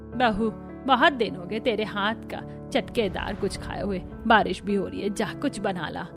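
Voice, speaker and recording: A woman voicing a bossy, demanding older mother-in-law in an animated family story, with a commanding, scolding manner. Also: mid-range voice, a little slow, over music, natural voice. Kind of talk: Hindi cartoon story acting.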